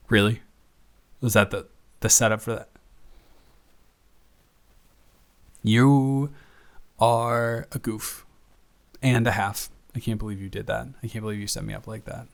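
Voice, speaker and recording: A man playing a teasing, affectionate young roommate who gets easily flustered, speaking haltingly and conversationally in an intimate improvised audio roleplay. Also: on the low side, vocal fry. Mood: playful, tender. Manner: halting, conversational, self-correcting.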